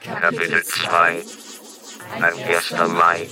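A man's voice: sits low, completely flat delivery, slow, over music. A man giving scripted announcements of titles and reports.

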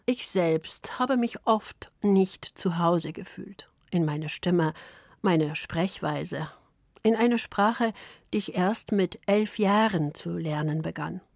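A woman from Poland, Polish native, narrating with a Polish accent in a soft, slow, intimate read. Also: fairly low pitch, gravelly, no room sound, studio quality. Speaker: radio author and narrator. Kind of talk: scripted reflective narration.

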